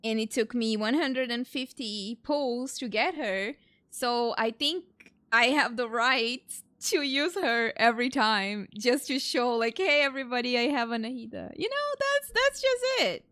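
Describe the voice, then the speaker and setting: A woman's voice: on the high side, lively intonation, a little slow, a bit bright. A young woman giving casual, rambling, reactive let's play commentary in an informal way. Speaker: game streamer. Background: game music.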